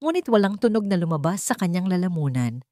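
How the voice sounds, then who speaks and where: Low-pitched woman storyteller, dry sound. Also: sing-song, quick pace, dark tone, dry recording. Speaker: story narrator. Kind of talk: dramatic scripted narration.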